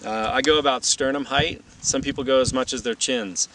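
Man, medium pitch, informal instructor, matter-of-fact, distant mic, faint background noise. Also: steady tone, brisk. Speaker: instructor and presenter. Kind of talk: informal gear demonstration explained to camera.

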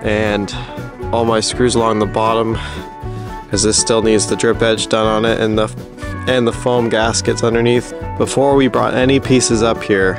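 A man giving a casual, plain-spoken, practical walkthrough as the host of a DIY building vlog. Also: lowish voice. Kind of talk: casual explanatory walkthrough of construction work.

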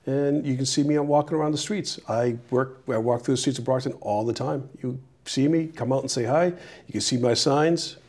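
A middle-aged man from Peru, a mayoral candidate answering interview questions in a small TV studio on a lapel mic, earnest and halting with restarts. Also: medium pitch, a little mellow.

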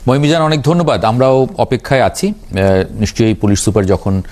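A man's voice: medium pitch, expressive, a little fast, darkish tone. A man with a Bangladeshi accent presenting the news as an anchor, moving between scripted narration and live handovers.

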